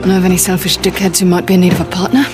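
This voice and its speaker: A woman's voice: lowish voice, flat delivery, racing, lightly acted. An actress playing a tough female mercenary in a video game, speaking in a blunt, dry manner. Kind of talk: video game cutscene acting. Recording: music behind the dialogue.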